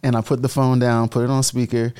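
Animated man from the Bahamas. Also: mid-range voice, quick pace, clean tone. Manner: animated storyteller acting out quotes. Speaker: guest, entrepreneur. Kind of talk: casual storytelling interview.

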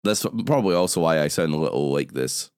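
A young British man hosting a podcast, his voice hoarse and gravelly after a night of drinking, chatting casually. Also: extremely low pitch, animated, fast, darkish tone, no room sound, clean studio sound. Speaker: podcast host. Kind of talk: casual chat.

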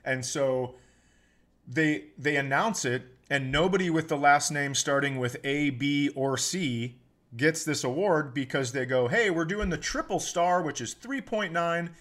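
A man's voice: on the high side, measured pace, fairly bright tone. A middle-aged American man telling stories casually on a comedy podcast, exasperated and freely swearing. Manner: exasperated, profane ranting. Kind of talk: casual comedic storytelling chat.

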